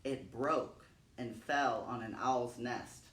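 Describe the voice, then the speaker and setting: A man with a medium-pitched voice reads a folk tale for children. His delivery is expressive and dramatic. He sounds set back from the microphone in a roomy, echoing space. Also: slow, far from the mic. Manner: dramatic, repeats phrases for emphasis. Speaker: storytime reader. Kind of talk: expressive read-aloud children's storytelling.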